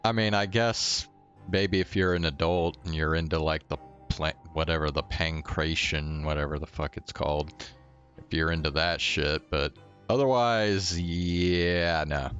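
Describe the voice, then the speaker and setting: A man's voice: a bass voice, measured pace, a bit bright. A laid-back man giving casual, sardonic, slangy commentary as a game streamer, on a slightly dull microphone. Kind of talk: casual live commentary over gameplay. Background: game music.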